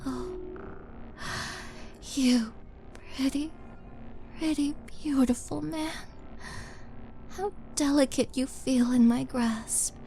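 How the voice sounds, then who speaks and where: Woman playing a slow-speaking, menacing half-orc warrior woman. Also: high-pitched, steady tone, dark tone, slight background noise. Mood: gloating, admiring. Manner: slow, dramatic, menacing and taunting, breathy dips into whisper. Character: towering, muscular, bloodthirsty half-orc murderess, possessive and teasing. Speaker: roleplay voice performer. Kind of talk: intimate audio roleplay acting. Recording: background music under voice.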